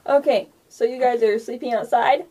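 Woman, somewhat high pitch, game master, casual tabletop narration, informal and conversational. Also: fairly even intonation, normal speed, crowd noise.

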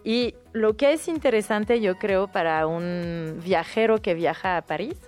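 A woman's voice: medium pitch, a little slow, a little mellow, faint music behind. A woman with a French accent, native French speaker, recommending a novel in a casual interview, often restarting her words. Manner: hesitant, frequent restarts and fillers. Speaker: guest book recommender.

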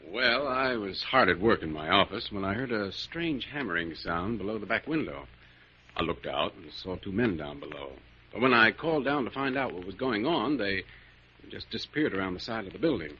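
A muffled old radio recording with some noise. An actor plays an earnest, good-natured man with a low voice, recounting things plainly in 1940s drama style. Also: animated, moderate pace, close to an everyday voice.

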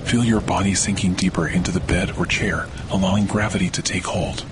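A man narrating a scripted guided sleep meditation in a slow, soothing, deliberate way with long pauses. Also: sits low, background noise. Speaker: podcast host, meditation guide. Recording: steady rain and thunder behind voice. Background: rainfall with thunder.

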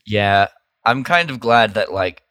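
A man's voice: lowish voice, lively intonation, measured pace, pushed and bright. A man co-hosting a casual podcast chat, speaking candidly with frequent "like" fillers and laughter. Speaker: podcast co-host.

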